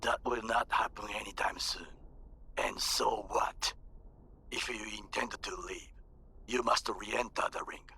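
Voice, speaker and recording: Raspy, solemn, commanding man. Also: lowish voice, fairly even intonation, a little slow, sharp tone, exaggerated voice. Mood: stern, solemn. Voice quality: raspy, gravelly. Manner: clipped, formal, commanding. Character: stern, solemn older male fixer calling to demand a meeting.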